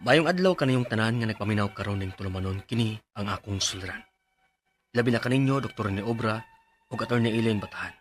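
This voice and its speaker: A man's voice: on the low side, fast. A man with a Filipino Cebuano accent narrating a listener's letter as scripted radio. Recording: faintly noisy radio broadcast.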